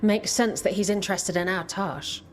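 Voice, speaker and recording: Confident, practical fantasy game ally, female actor: medium-pitched voice over faint music. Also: fairly even intonation, moderate pace, lightly acted. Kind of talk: video game cutscene acting.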